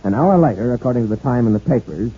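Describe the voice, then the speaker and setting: An actor playing an earnest, worried man framed for murder in a 1940s radio drama, with a husky voice. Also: mid-range voice, lively intonation, quick pace. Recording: tinny 1940s radio recording.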